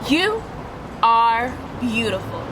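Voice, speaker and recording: A woman plays a tender storybook mother, comforting and reassuring. The voice is high with lively swoops, over clear background noise. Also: slow.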